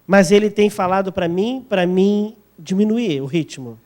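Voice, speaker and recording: Mellow, high-voiced Brazilian male interpreter. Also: lively intonation, normal speed. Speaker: sermon interpreter. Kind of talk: consecutive interpretation of a sermon.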